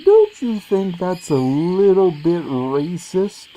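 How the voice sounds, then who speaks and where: A woman's voice: lowish voice, expressive, slow pace, dark tone, rough recording. A woman voicing a shady bear driver who turns out to be a man in a bear suit, answering a traffic cop with smug, evasive defiance in a comedy sketch.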